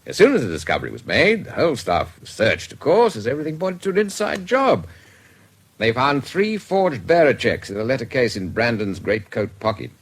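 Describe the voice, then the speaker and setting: An actor playing a jovial, brisk British police records man in a radio drama, chatty and clipped in his delivery. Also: mid-range voice, very animated, breathy edge. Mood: matter-of-fact recounting. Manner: chatty, clipped sentences with bursts of amusement.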